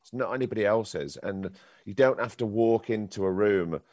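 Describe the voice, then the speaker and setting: Deep-voiced man giving candid advice. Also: moderate pace, a little mellow, no echo, clean studio sound. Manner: candid, encouraging advice with frequent ums and restarts. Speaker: professional actor answering questions. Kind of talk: Q&A with a youth theatre group.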